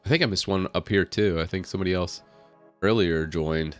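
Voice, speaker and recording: A low-voiced man talks loosely on a live stream, thinking aloud as he clicks through his work. A cold leaves him a little raspy, and his talk is full of fillers and quick laughs. Faint music plays quietly underneath. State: slightly raspy from a head cold. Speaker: tech streamer. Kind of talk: casual live stream talk while working on screen.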